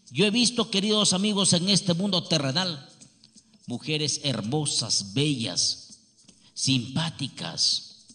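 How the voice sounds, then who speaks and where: A man preaches his services in an emphatic, repetitive way, full of pleading appeals. His voice sits a little high and sounds bright and forceful. Faint music plays behind him. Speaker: healer advertising his services. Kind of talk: preaching-style radio pitch.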